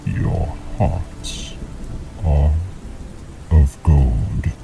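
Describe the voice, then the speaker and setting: Man as a very deep, creaky, solemn bird creature. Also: heavily acted. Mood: solemn, gentle. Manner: slow, solemn, softened. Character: stern but gentle crescent bird elder creature. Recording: rain and noise under the voice.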